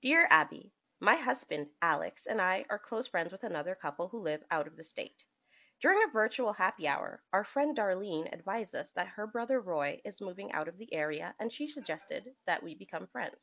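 A woman podcast co-host with very lively, swinging intonation. She chats casually and reads letters aloud expressively. The sound is a little dull and lo-fi. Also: medium pitch, moderate pace, vocal fry. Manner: expressive, reacts to what is read.

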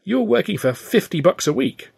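A man's voice: high-pitched, very animated, normal speed, vocal fry, darkish tone, slightly rough, pitched above the performer's own voice, full character voice. Man voicing a wry, needling English vaudevillian. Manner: wry, pointed.

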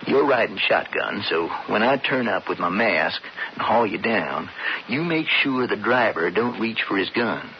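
An actor playing a sly, bitter older-brother cowboy in a radio western, persuasive and folksy with an American Western drawl. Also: male voice, lowish voice, expressive, unhurried. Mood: scheming. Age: adult, older brother. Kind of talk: radio western drama acting. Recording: muffled, hissy 1970s radio broadcast.

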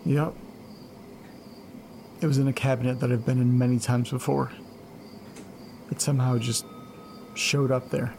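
A man acting in a naturalistic audio drama as a laid-back, sleepy, awkwardly friendly guy with a gravelly, husky voice. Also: mid-range voice, level tone, a little slow, long pauses, a little mellow, slight background noise. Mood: casual, curious. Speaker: lead actor in horror audio drama.

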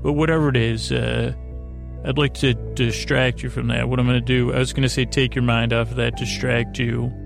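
A man hosting a bedtime podcast in a creaky, lulling voice, meandering haltingly and interrupting himself through a silly monologue. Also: medium pitch, level tone, faint music behind. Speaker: host of a bedtime sleep podcast. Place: small closet, close and dry.

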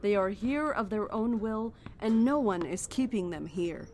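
A woman playing a calm, zealous cult leader in a horror audio drama, speaking in a measured, formal, persuasive way. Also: medium pitch, slight background noise, natural voice. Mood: serene, commanding.

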